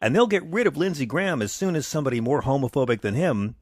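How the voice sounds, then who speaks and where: A man's voice: mid-range voice, animated, fast talker, no echo, clean studio sound. A man hosting a live political call-in talk show. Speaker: talk radio host.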